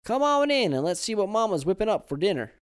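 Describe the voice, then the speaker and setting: A man voicing a grizzled old man who is gruff but kindly, with a gravelly voice. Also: high voice, sing-song, quick pace, pitched above the performer's own voice.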